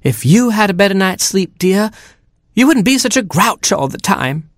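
A man voicing a fussy, quick-tempered mother hen in a storybook, clucking and scolding. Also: high-pitched, very animated, dry recording, studio quality, voice pushed up. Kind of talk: storybook character voice.

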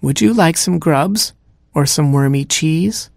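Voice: medium pitch, slow, creaky, darkish tone, no echo, clean studio sound, voice pushed up. A performer voicing a naive, curious little boy robin in a children's storybook, with childlike, wide-eyed wonder. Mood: curious, innocent.

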